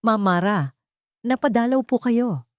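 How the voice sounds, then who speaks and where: A woman voicing a loyal, serious, worried head nurse in dramatic story dialogue, speaking respectfully and gravely. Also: fairly high pitch, lively intonation, unhurried, dry recording, clean studio sound, pitched above the performer's own voice. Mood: surprised, respectful.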